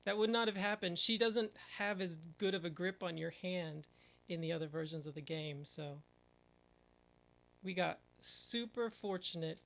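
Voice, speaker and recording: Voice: medium pitch, moderate pace, fairly bright tone, poor audio. A speedrunner giving casual commentary over a run, muttering at the game and using mild minced oaths.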